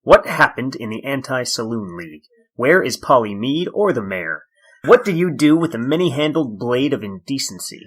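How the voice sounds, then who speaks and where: An actor playing a weary, dry-witted male detective in an audio drama, speaking in a stern, deadpan way. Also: mid-range voice, moderate pace, breathy edge.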